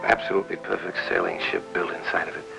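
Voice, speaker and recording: An actor playing a brooding, menacing bedridden husband with an American accent, speaking slowly and deliberately in 1950s film noir style. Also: male voice, sits low, level tone, vocal fry, poor audio. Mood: wistful, intense. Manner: slow, deliberate, quietly intense, dropping into whispers. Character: bedridden, brooding, menacing husband telling a chilling childhood memory. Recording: old film soundtrack with music underneath.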